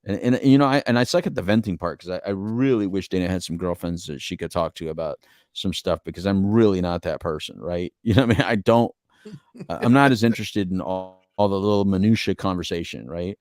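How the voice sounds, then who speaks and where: Low-voiced middle-aged American man, dry sound. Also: fairly quick, a little mellow, no room sound. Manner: earnest, rambling, repeats phrases for emphasis. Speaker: podcast co-host. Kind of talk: casual reflective relationship talk.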